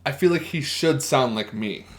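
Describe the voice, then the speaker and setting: A man's voice: mid-range voice, lively intonation, moderate pace. A young adult man co-hosting a casual chat, with a slightly nasal voice and joking banter. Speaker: podcast co-host. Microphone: headphones and streaming mic.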